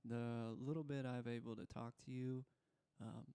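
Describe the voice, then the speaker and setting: The guy behind the mic on a homemade late-night chat show, mellow, slangy and laid-back, with a fry in his throat. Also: medium pitch, flat delivery, moderate pace, dry recording. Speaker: podcast host. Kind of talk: casual chat interview.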